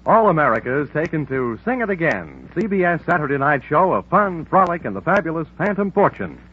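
A man's voice: on the high side, big pitch swings, brisk. A male network announcer delivering a 1950s radio promo with polished, showman-like salesmanship. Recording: muffled vintage radio recording, faint noise.

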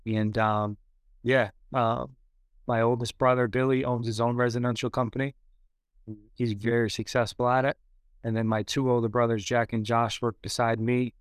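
A man's voice: medium pitch, level tone, normal speed, clean tone, no echo. A man of about thirty talking casually as a podcast guest, laid-back and matter-of-fact with many fillers. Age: 30s. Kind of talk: casual interview talk.

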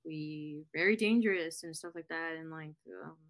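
Low-voiced young woman, chatty guest. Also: moderate pace, a bit bright. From United States. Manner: chatty, filler-heavy. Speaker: podcast guest. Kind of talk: casual interview chat.

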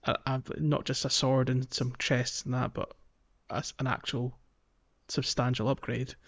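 A man's voice: medium pitch, level tone, normal speed, muffled recording. A man hosting a casual podcast, explaining a game walkthrough and guiding the chat with questions. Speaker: podcast host.

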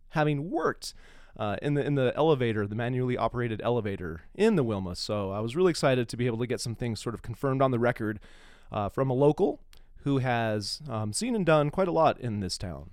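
A man's voice: medium pitch, no echo, studio quality. An American man hosting a podcast, giving a casual, emphatic and opinionated introduction. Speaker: podcast host.

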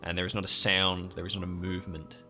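A man with a low, forceful voice narrates as game master. He sets improvised scenes descriptively for an adventure podcast, with music faintly behind him. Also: monotone, fast talker.

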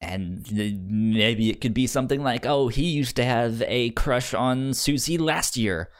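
A man co-hosting a film podcast, talking casually in a halting way, often repeating syllables as he finds his words. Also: medium pitch, smooth.

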